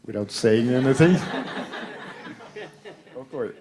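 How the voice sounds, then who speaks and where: A man's voice: medium pitch, slow pace, a crowd in the background. A man moderating a festival panel, asking light, joking questions. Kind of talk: panel talk.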